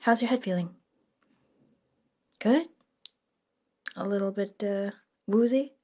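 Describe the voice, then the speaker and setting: Slow, soft-spoken friendly witch played by a woman. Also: mid-range voice. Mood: soft, soothing. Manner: soft, gentle, hesitant and informal. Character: friendly, reluctant modern witch, soothing helper. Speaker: ASMR roleplay performer. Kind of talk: soft-spoken ASMR roleplay with whispering.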